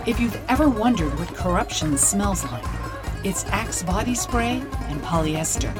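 A woman playing a wry investigative podcast host, narrating a scripted mystery with deliberate suspense. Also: mid-range voice, animated, close to an everyday voice. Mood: wry, ominous. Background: music.

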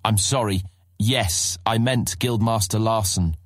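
A man's voice: lowish voice, unhurried, no room sound, studio quality, pitched above the performer's own voice. A man playing a polite, puzzled young newcomer in a fantasy audiobook, hesitant and apologetic in manner. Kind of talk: fantasy audiobook character acting.

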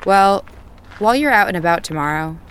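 An actress playing a skeptical, worried friend with a wry, teasing manner in an audio drama. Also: female voice, mid-range voice, expressive, brisk, fairly bright tone, a little hiss or hum, lightly acted.

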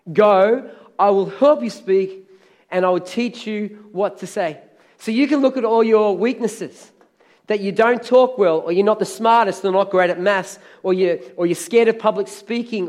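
A middle-aged pastor with a hoarse, crackly voice, preaching a sermon with energetic, emphatic repetition. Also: male voice, high voice.

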